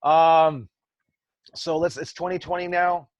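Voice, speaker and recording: A man's voice: a little high, steady tone, slow pace, no room sound. A man in his forties, a wrestling coach running a casual online class chat, loose and joking with casual swearing over a video call. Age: 40s.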